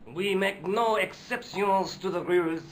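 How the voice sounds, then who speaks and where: An actor voicing a curt, officious hospital administrator who is bound to the rules. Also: male voice, high-pitched, unhurried, a little hiss or hum, low-quality recording. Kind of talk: video game voice acting.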